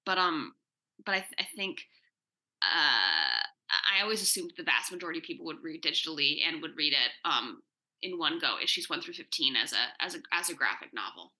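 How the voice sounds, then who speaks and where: A woman with an extremely bright, forceful voice set a little low gives a conversational panel answer. She speaks as a writer explaining her craft, animated and quick to rephrase herself. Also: rough recording. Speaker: comics and screen writer on a Q&A panel.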